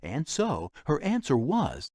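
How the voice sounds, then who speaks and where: An actor narrating a comic fairy-tale video game as a wry, theatrical storyteller with comic asides. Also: male voice, on the high side, huge pitch swings, takes it slowly, darkish tone, no echo, studio quality, exaggerated voice. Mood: wry, amused.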